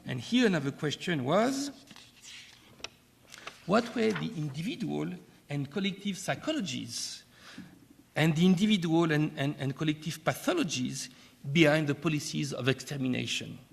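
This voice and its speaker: A man from France with a French accent, delivering an academic keynote lecture in a measured way, often pausing and repeating words. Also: a little high, animated, creaky, in a large hall, slight background noise. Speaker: keynote speaker. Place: lecture hall.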